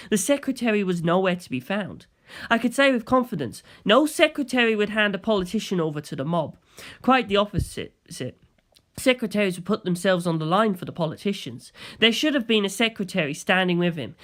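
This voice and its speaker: A storyteller narrating scripted fiction in the first person. The pitch is lively and moves widely as the reading goes on.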